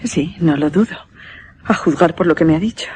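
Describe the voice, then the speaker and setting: A woman's voice: sits low, moderate pace, a little mellow, a little hiss or hum, natural voice. An actress playing an attentive, concerned lady who puts short questions in a suspense radio drama. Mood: appalled. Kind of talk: Spanish radio drama acting.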